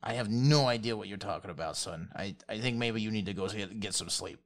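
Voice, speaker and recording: A man's voice: fairly low pitch, fairly quick, lightly acted. A man voicing a chubby, tired old townsman in a tabletop game, grumpy and hesitant, muttering his questions. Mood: weary, puzzled.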